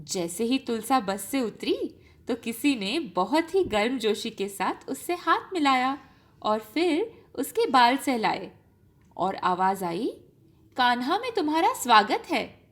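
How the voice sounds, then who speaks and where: A woman narrating a children's story in an expressive, unhurried and dramatic way. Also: a little high.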